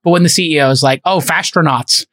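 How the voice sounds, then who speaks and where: A man hosting a casual panel podcast, mocking and animated, often laughing. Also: fairly high pitch, quick pace, background noise. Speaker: podcast host.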